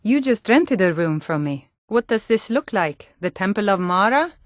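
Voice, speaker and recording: A woman's voice: medium pitch, big pitch swings, moderate pace, dry recording, studio quality, natural voice. An actress playing a stern, suspicious, hot-tempered Nord townswoman in a fantasy video game, speaking in a blunt, challenging way. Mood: businesslike. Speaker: game character voice.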